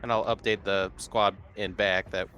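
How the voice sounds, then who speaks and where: Low-voiced man playing tabletop. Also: little pitch movement, normal speed, pushed and bright. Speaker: tabletop game player. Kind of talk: casual game chat.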